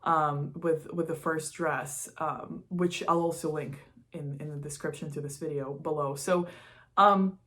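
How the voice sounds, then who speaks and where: A woman's voice: deep voice, steady tone, a little slow, fairly bright tone. A woman from Russia talking casually and candidly to her viewers, stressing key words in a confessional vlog style. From Moscow, Russia. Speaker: vlogger. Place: studio, indoors.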